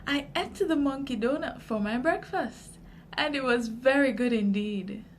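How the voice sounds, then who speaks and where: A woman with a Jamaican accent voicing a cheerful, excitable little boy in a storybook. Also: high-pitched, measured pace, slight background noise. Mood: amused, proud.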